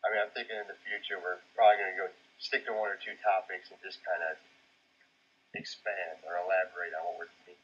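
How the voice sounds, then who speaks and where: Low husky man on muffled lo-fi audio. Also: little pitch movement, fast talker, a bit bright. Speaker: podcast co-host. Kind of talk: casual chat about video games. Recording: muffled, lo-fi voice recording.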